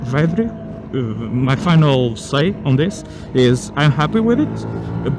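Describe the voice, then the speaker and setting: Loud engine and road noise surrounds a man with a Portuguese accent. He talks slowly and casually in a rambling review, often hesitating as he looks for the right word. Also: medium pitch. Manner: hesitant, rambling, searching for words. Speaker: motorcycle vlogger. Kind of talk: casual spoken product review while riding.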